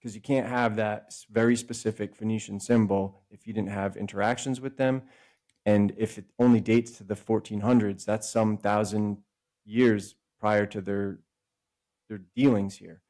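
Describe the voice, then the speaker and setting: A man with a fairly low, warm and mellow voice. He talks as a podcast guest over a remote call, working through ideas in long, wandering stretches and often catching and correcting himself mid-thought. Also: fairly even intonation. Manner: discursive, self-correcting, speeds up and slows down. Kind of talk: casual explanatory conversation.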